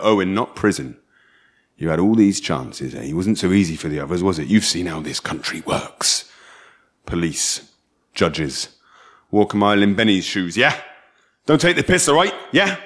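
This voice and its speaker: A man's voice: deep voice, a bit bright, pitched below the performer's own voice. A man voicing a weary, reproachful adult who scolds a troubled teenager in clipped, exasperated phrases in a dramatic reading.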